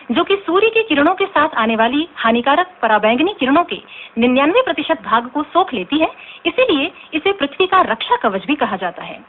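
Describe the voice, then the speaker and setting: Radio drama report: formal Indian female correspondent, high animated voice, expository delivery. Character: female radio science reporter explaining facts. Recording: tinny, noisy phone-like radio recording.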